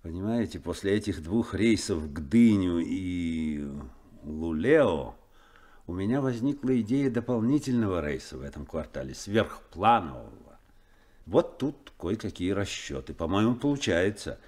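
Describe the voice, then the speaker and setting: A man's voice: low voice, big pitch swings, unhurried, darkish tone, exaggerated voice. An actor playing an earnest, principled male first mate who talks with hesitant fillers, in a noisy 1970s radio drama recording.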